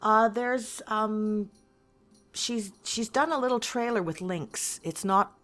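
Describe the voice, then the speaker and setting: A woman's voice: a little high, slow pace, a bit bright. A woman being interviewed as a voice actor, chatting casually in a hesitant, rambling way, as if thinking aloud.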